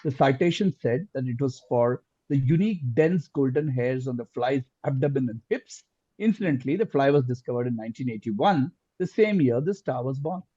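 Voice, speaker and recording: A man's voice: medium pitch, expressive, fairly quick, warm tone, slightly rough. A man working as quizmaster, reading out questions formally in an online quiz, on lo-fi call audio.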